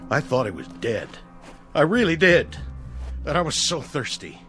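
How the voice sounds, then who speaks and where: An actor playing a weary, evasive astronaut in a vintage TV drama, sullen and defensive, stammering when pressed. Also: male voice, on the high side, big pitch swings, normal speed, fairly bright tone. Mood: desperate, pleading. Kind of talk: mid-century television drama acting. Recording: music under dialogue.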